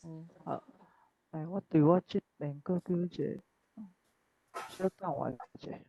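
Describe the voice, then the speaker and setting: A woman's voice: low voice, fairly even intonation, measured pace, mellow, breathy edge. A woman with a Taiwanese accent presenting informally as a guest, over a dull online connection. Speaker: guest speaker at literary forum.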